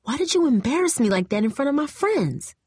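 A woman's voice: fairly low pitch, big pitch swings, brisk, creaky, darkish tone, husky, no room sound, studio quality, heavily acted. Woman voicing an exasperated, accusing teenage girl. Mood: angry, accusing. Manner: accusing, heated. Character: angry, exasperated teenage girl, wounded sister type.

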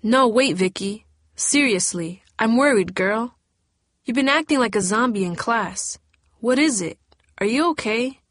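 A woman's voice: on the high side, animated, normal speed, dry recording, voice pushed up. A woman narrator voicing a blunt, slangy teenage girl who is a close friend. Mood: worried. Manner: brash, slangy.

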